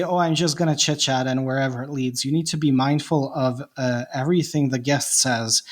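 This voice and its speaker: A man from Bosnia with a Bosnian accent, a non-native English speaker, talking reflectively in a casual podcast chat with frequent restarts. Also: mid-range voice. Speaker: podcast host, guest.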